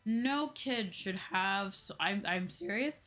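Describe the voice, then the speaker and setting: A woman's voice: mid-range voice, lively intonation, takes it slowly, fairly bright tone. A woman livestreamer chatting casually with her viewers, with music playing behind her. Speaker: livestreamer, VTuber host.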